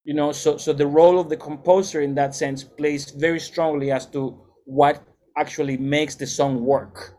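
A man's voice: on the high side, steady tone, moderate pace, mellow. A middle-aged man, a music educator and salsa band leader, giving thoughtful, lecture-like interview answers with frequent restarts.

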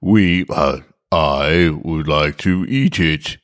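A very low, gruff storybook bear in disguise, acted out by a male reader. He bumbles through his bluff in halting phrases, stumbling over words. The sound is dry and close. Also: dry recording, heavily acted. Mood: nervous bluffing.

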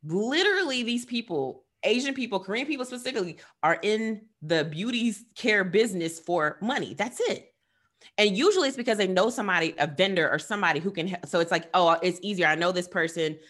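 A woman's voice: medium pitch, expressive, a little fast, fairly bright tone. A woman speaking with passion and emphasis as a podcast co-host in casual discussion, with laughing asides.

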